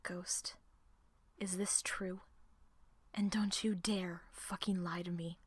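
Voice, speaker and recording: A woman playing a distressed, guilt-ridden young woman in an audio drama, speaking haltingly and emotionally. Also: on the low side, little pitch movement, slightly rough. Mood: quiet, earnest, guilty. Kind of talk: audio roleplay drama acting.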